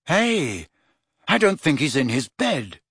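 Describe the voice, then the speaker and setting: A man's voice: a little high, big pitch swings, takes it slowly, fairly bright tone, husky, no room sound, studio quality, full character voice. A man voicing a practical, puzzled teenage boy wizard in an audiobook, blunt and a little impatient.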